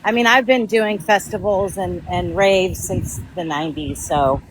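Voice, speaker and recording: A woman chatting casually on a live stream in a gentle, sympathetic way. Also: fairly low pitch, unhurried. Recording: noisy audio.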